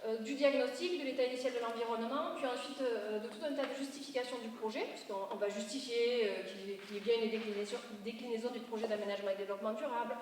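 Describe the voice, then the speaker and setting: A woman's voice: on the high side, steady tone, fast talker, few pauses, darkish tone. A woman giving an explanatory public presentation as an urban planning consultant, going step by step in a didactic way and correcting herself as she goes. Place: large reverberant meeting room, audience present. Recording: very echoey hall, distant mic, faint noise.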